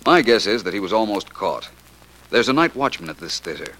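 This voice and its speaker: A low, forceful voice for an urbane detective in a vintage radio play. He is crisp and self-assured, with animated swings in intonation, over a slightly noisy old recording. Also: brisk. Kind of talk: 1940s-50s radio drama acting.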